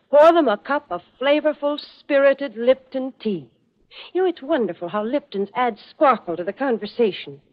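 A woman doing scripted 1940s radio commercials in a genteel, chatty, homey manner. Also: a little high. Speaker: radio sponsor spokeswoman. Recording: muffled vintage radio broadcast.

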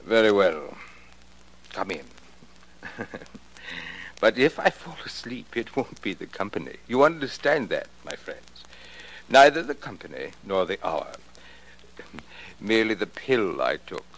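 An actor playing a smooth, guarded business manager in a 1940s radio drama, talking in a suave, evasive way. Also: male voice, lowish voice, very animated, measured pace, pauses often, fairly bright tone, full character voice, rough recording. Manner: suave and guarded, feigning drowsiness.

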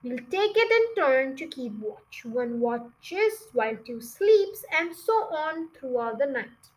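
A woman's voice: high voice, big pitch swings, slow, full character voice, poor audio. A woman voicing a gloating, scheming male farmer in a storybook, taunting and bossy.

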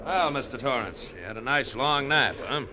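An actor playing a tough, gruff sailing-ship captain in an old radio drama, curt and wry in his delivery. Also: mid-range voice, expressive, normal speed, close to an everyday voice. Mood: wry, challenging. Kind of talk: 1940s-50s radio drama acting. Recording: very noisy vintage radio recording.

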